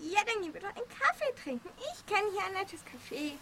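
A woman's voice: extremely high pitch, big pitch swings, fast, pushed and bright, exaggerated voice. A woman playing a lonely, caring old grandma, fussing and sighing in an amateur radio play. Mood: caring, insistent. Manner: fussing, chatty, sighing, uses southern German dialect words.

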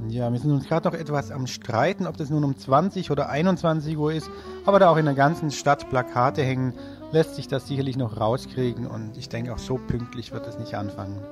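A male community radio presenter with a mid-pitched voice talks through local listings live. He sounds casual and unscripted, with faint guitar music behind him. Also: fairly quick. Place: radio studio. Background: guitar music under the voice.

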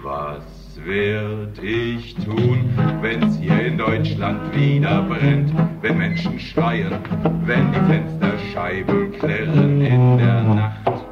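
A man performing a solemn protest song as a singer-songwriter, with drawn-out phrasing. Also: mid-range voice, animated. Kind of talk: slow protest song with guitar. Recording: distant, dull, muffled song recording. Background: loud acoustic guitar music.

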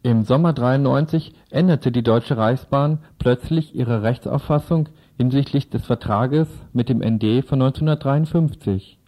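A man's voice: mid-range voice, normal speed, few pauses, dark tone. A man reading scripted radio news as presenter.

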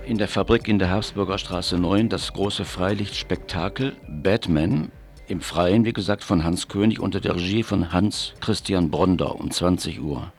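A man presenting on morning radio, reading out local event listings in a halting, list-like way and repeating key details. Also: low-pitched. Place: radio studio.

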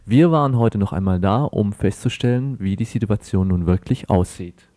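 A man's voice: lowish voice, moderate pace, warm tone. A man working as a radio reporter, introducing reports and asking questions in a casual, informal way.

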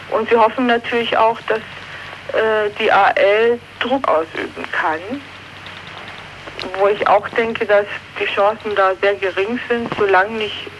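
Man, high voice, deliberate halting phrasing, political radio interview, noisy 1980s recording. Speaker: interviewed political party member.

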